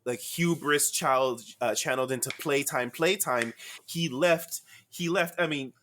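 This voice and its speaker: A man's voice: medium pitch, measured pace, sharp tone, slight background noise. A man co-hosting a podcast in casual chat, rambling, stumbling over his words and laughing easily. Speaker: podcast co-host. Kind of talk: casual film-discussion chat.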